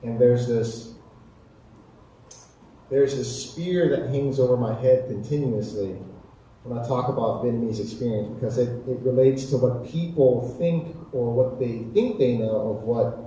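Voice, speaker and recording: An American man speaks earnestly on a panel in a heavily echoing hall, picked up from a distance. His voice is very soft-edged and dark, and he talks deliberately, repeating phrases to make his point. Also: mid-range voice. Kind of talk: reflective panel discussion. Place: echoey indoor community event space. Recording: echoey hall, distant mic, faint noise.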